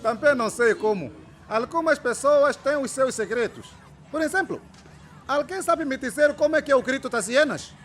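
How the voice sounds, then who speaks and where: An eager young Mozambican schoolteacher in a radio play. His voice is very high for a man and swings about in lively pitch, playful and affectionate, with faint noise behind. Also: normal speed. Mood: playful storytelling. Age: 20s. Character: eager, idealistic young male trainee teacher. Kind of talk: radio drama acting.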